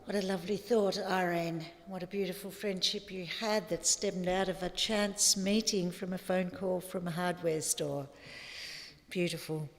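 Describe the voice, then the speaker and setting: A woman officiating a funeral as celebrant, making soft linking remarks. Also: lowish voice, steady tone, a little slow, gravelly. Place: funeral chapel. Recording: long reverb, voice off-mic.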